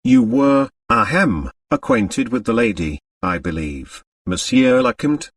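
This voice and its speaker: A man's voice: medium pitch, wildly swooping pitch, slow pace, vocal fry, no echo, far from the mic, exaggerated voice. A man voicing a polite, formal French examining magistrate who questions carefully, in an audiobook. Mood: polite, tactful. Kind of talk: audiobook character dialogue.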